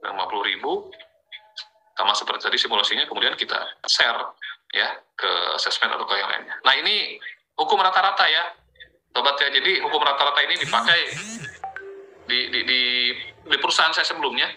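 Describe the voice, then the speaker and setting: Very bright-voiced man presenting. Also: mid-range voice, lively intonation, a little fast, long pauses, low-quality recording. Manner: conversational, repeats filler tags to check in with listeners. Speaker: presenter of an affiliate marketing training. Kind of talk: informal slide presentation, explanatory sales pitch.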